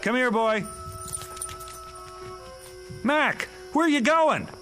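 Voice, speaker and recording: Distraught dad, urgent, widely swinging pitch, radio play, music underneath. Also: male voice, expressive, slow, heavily acted. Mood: agitated. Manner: urgent, emotional delivery. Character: frantic father whose small daughter has vanished. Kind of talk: radio drama acting.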